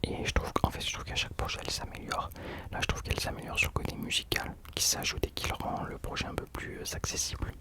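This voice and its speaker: A young man whispering casual ASMR commentary as a host, hesitantly searching for his words. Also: fast talker.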